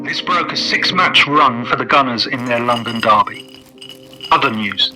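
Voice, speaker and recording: An actor in a radio play playing a formal, measured newsreader heard over a radio. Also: male voice, medium pitch, music in the background, poor audio. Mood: formal, neutral. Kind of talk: scripted news reading.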